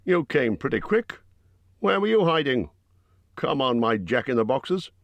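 Man doing a rough booming bear-man host, higher and louder than his own voice, dry close sound. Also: big pitch swings, unhurried, no echo. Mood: gruff. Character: gruff huge bear-man host, blunt and impolite.

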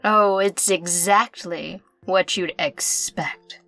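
An actress playing a playful, gloating villainess in a parody radio mystery, teasing and theatrical. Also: female voice, lowish voice, takes it slowly, fairly bright tone, faint music behind. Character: playful, gloating femme fatale villainess, secretly a journalist.

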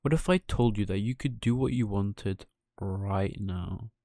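A man's voice: on the low side, unhurried, creaky, dark tone, no room sound, studio quality. A man playing a sly coachman who coaxes children in a persuasive, slick way, as a storybook villain. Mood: coaxing. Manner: coaxing, persuasive.

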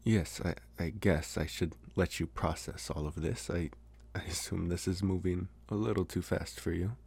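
A man playing a smitten young college vampire in an intimate, hesitant, soft-spoken ASMR roleplay. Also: low-pitched, fairly even intonation, creaky, husky, full character voice. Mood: awkward, coaxing. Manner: hesitant, soft-spoken, slow with long pauses. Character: young male college vampire, smitten, calm, gently possessive. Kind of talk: ASMR roleplay, intimate one-sided dialogue.

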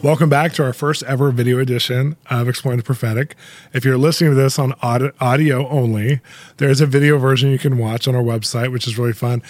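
A man hosting a podcast interview, chatty and upbeat, with quick laughs, in a studio. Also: medium pitch, steady tone, dry recording. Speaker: podcast host. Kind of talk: casual interview chat.

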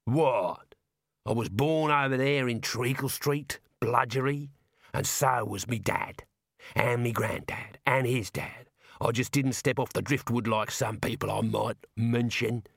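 A man's voice: mid-range voice, measured pace, creaky, dry recording, studio quality. A man voicing a shifty, pushy male street hawker, talking in a wheedling sales patter. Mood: indignant. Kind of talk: audiobook character reading.

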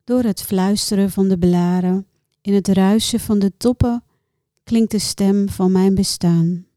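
A woman reciting her own poems in a measured, contemplative way, with deliberate pauses between short phrases. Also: medium pitch, steady tone, warm tone, dry recording, studio quality. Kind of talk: poetry recitation.

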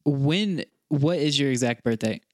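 A man's voice: mid-range voice, unhurried, dry recording. A man in his twenties co-hosting a podcast, teasing and challenging in casual, crude, sweary banter, close on the microphone. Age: 20s. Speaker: podcast co-host.

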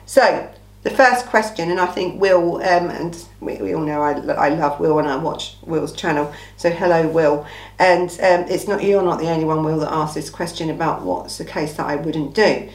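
Echoey, slightly croaky English woman chatting. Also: sits low, normal speed. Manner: informal, emphatic, rhetorical asides. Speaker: true-crime channel host. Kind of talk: casual chatty Q&A monologue. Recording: echoey room.

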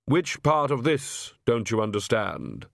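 Man voicing Death, solemn and deliberate. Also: mid-range voice, big pitch swings, creaky, no echo, studio quality. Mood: deadpan impatience. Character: Death, a solemn, impatient male personification.

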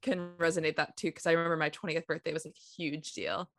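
A woman's voice: low voice, level tone, quick pace, a bit bright, no echo, studio quality. A woman in her twenties interviewing, chatting in a relatable way with many fillers. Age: 20s. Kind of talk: casual interview chat.

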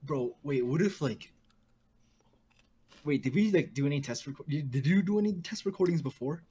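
A man's voice: fairly high pitch, lively intonation, moderate pace, mellow, slightly rough. A young man co-hosting a casual podcast over a video call, laid-back, laughing often and saying "bro" a lot. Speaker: podcast co-host. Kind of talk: casual chat.

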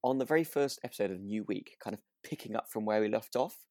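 A man's voice: fairly low pitch, fast, a little mellow, no room sound, studio quality. A British man co-hosting a casual discussion of a radio drama, hesitant and often rephrasing himself as he goes. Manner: hesitant, circling phrasing with self-interruptions. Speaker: podcast co-host.

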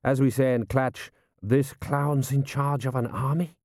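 A man voicing a suave, mocking desert prince with a drawn-out, mock-polite manner in an audiobook. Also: mid-range voice, steady tone, darkish tone, dry recording, clean studio sound, pitched above the performer's own voice, lightly acted.

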